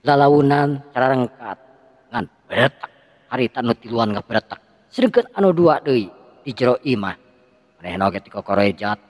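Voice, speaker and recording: A man narrating a folk tale with a Sundanese accent, lively and dramatic. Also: mid-range voice, normal speed, big-room sound. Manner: lively, with shouted sound effects. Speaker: storyteller.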